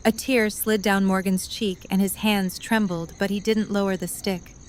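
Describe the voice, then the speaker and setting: A woman's voice: mid-range voice, steady tone, normal speed, a little hiss or hum. A woman reading scripted story narration as the narrator of an audio drama.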